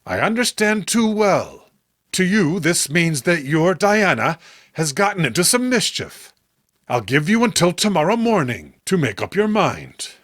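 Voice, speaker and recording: A man's voice: high-pitched, big pitch swings, unhurried, a bit bright, no room sound, clean studio sound, full character voice. A man playing a horrified, indignant man in a horror comic, speaking in a formal, melodramatic, emphatic way. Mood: grave, accusing. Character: horrified, indignant man confronting his deranged friend. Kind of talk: horror comic read-along acting.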